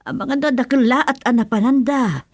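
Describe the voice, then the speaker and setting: A woman's voice: sits high, fairly quick. An actress playing a practical, mildly exasperated village mother in a radio serial, with a Filipino accent and homely, exclamatory speech.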